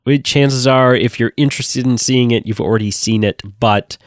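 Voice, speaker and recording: A man hosting a casual movie review podcast, sick and sounding nasal, animated and swearing freely. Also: mid-range voice, normal speed, dry recording, studio quality. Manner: animated, swearing, laughing often. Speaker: podcast host.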